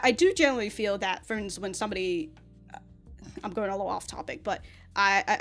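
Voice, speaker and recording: An American woman giving casual, rambling reaction commentary, with an animated, slangy, sweary way of talking. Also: mid-range voice. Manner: animated, sweary, slangy, often laughing mid-thought. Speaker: reaction video host.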